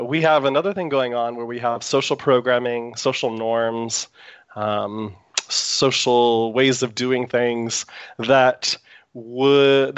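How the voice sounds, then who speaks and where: A man's voice: mid-range voice, no echo, highs cut off. A man hosting a live internet radio talk show, chatty and meandering with frequent fillers, speaking through a sore throat.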